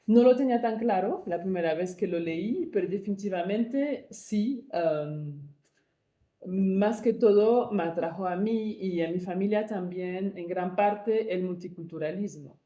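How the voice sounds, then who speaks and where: A woman from Congo, co-founder and director of an arts project, answering interview questions thoughtfully and pausing to search for words. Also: medium pitch, dark tone, echoey room. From Democratic Republic of the Congo.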